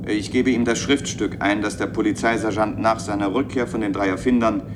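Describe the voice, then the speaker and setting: Man as a stern committee chairman, fairly low voice, formal, authoritative, radio drama, clear background noise. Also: steady tone, brisk, lightly acted. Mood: official, measured. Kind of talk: German radio play acting.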